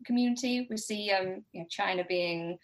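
A woman's voice: mid-range voice, normal speed. A woman speaking as an academic guest in an informal podcast discussion, hesitant and thinking aloud as she goes.

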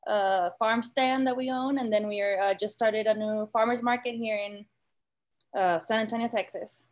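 A woman's voice: a little high, steady tone, normal speed. A woman in her thirties from Puerto Rico who co-owns a small business, introducing herself casually on a video call with frequent uhs. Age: 30s. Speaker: panelist, small business owner.

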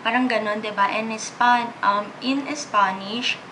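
A woman's voice: fairly high pitch, fairly even intonation, a little slow, noisy background. Chatty Filipino woman in her twenties. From Philippines. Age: 20s. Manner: switches freely between English, Tagalog and Spanish, chatty. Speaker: vlogger. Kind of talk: casual chat. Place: small bedroom, indoors. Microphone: camera in front, seated close.

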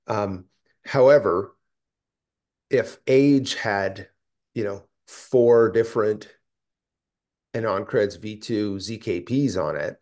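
A man presenting in a technical working group call, explaining in a halting, thinking-aloud way. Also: lowish voice, darkish tone. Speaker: meeting presenter. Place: indoor room, video call.

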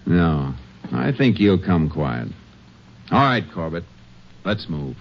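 An actor playing a tough, wry Texas Ranger with a clipped, commanding manner in an old radio western. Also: male voice, sits low, very animated, takes it slowly, heavily acted. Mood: dry, confident. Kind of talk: old-time radio western detective acting. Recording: dull old radio broadcast recording.

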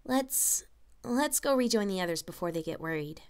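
A woman's voice: medium pitch, expressive, normal speed, a little mellow, clean tone, lightly acted. A woman voicing a worried, protective young princess who sounds earnest and unsure in a fan fiction drama. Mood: resigned, hesitant. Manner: earnest, hesitant.

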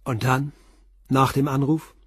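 Husky, persistent police inspector. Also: male voice, mid-range voice, level tone, a little slow, a bit bright, no echo, clean studio sound. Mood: probing. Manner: terse, insistent questioning. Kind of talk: crime radio play acting.

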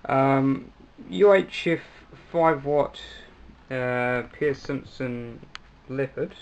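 A young man with a New Zealand accent, giving a casual, hesitant hobbyist demonstration with frequent pauses. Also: medium pitch, lo-fi sound. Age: young adult. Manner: hesitant, halting delivery with pauses. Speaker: hobbyist video maker. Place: small cluttered room at home, indoors. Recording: noisy amateur home recording.

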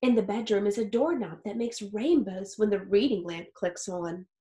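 A woman in her thirties reading a picture book aloud like a teacher, expressively and with deliberate phrasing for young listeners. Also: mid-range voice, mellow, slight background noise. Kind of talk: expressive children's read-aloud. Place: small home office or study with wooden shelves. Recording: roomy, echoey indoor sound.

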